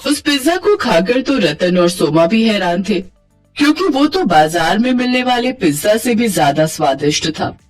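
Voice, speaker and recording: A woman's voice: medium pitch, big pitch swings, normal speed, soft background music. A woman narrating a scripted moral bedtime story as a storyteller.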